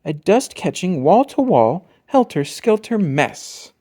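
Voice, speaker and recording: Casting: picture-book narrator, adult, mid-range voice, expressive with wide swings in pitch and emphatic key words. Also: slow pace. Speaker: storybook reader. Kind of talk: scripted children's storybook narration.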